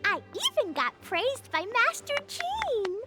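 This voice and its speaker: A very high, bouncy little girl's voice for a video game character. She is sweet, eager and innocently mischievous, with hugely animated intonation over prominent music. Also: slow, heavily acted. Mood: proud, eager. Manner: childlike, eager, refers to self in third person. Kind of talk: video game cutscene voice acting.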